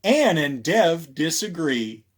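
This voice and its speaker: A man reads to children as a storytime librarian. His voice sits a little high and swings widely in pitch. He takes his time, with theatrical pauses and questions aimed at young listeners. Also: sing-song, slight background noise. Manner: theatrical, with dramatic pauses and hushes. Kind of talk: animated children's storytime read-aloud.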